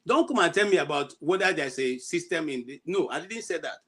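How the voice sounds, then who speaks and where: A man's voice: on the high side, animated, a little fast, a bit bright, husky. A middle-aged Nigerian man with an Igbo accent, arguing insistently on a panel and slipping into pidgin. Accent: Nigerian Igbo English. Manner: insistent, argumentative, mixes in pidgin. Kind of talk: heated panel discussion.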